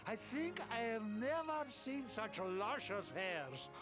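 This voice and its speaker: High-voiced, gushing Italian flatterer. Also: male voice, takes it slowly, full character voice. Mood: gushing admiration. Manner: effusive, theatrical, peppering speech with Italian phrases. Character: gushing, flamboyant Italian-style man admiring handsome youths. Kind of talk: video game character acting. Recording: loud game music behind.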